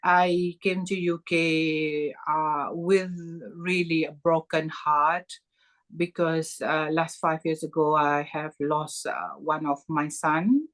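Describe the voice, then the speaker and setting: A middle-aged woman from Malaysia talks with a Malaysian accent. Her voice sits low and her pace is slow. She speaks in halting stretches, filling gaps with small sounds and starting phrases over. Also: level tone, a bit bright. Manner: halting, many fillers and restarts. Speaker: podcast guest. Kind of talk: personal story in an interview.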